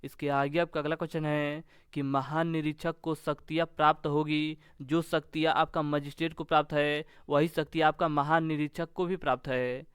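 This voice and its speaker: A man's voice: a little high, level tone, moderate pace, few pauses, smooth, no echo, clean studio sound. A man teaching in a question-and-answer style, as an exam coach with an instructional manner and repeated set phrasing. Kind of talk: question-and-answer quiz lesson.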